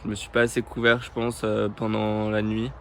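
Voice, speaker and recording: A man's voice: on the low side, monotone, normal speed, vocal fry, slight background noise. A young man who is sick with a cold and a sore throat, chatting tiredly to his viewers while touring outdoors by bike. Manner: chatty, tired, says "les gars" to viewers. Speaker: touring cyclist vlogger. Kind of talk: casual vlog talk to camera. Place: outdoors on the road. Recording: loud music over the talk. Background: loud background music.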